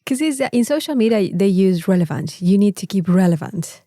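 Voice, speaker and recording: Mexican-accented woman, native Spanish speaker, mellow tone, dry recording, casual podcast chat, candid, emphatic. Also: medium pitch, animated, brisk, dry recording. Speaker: podcast co-host.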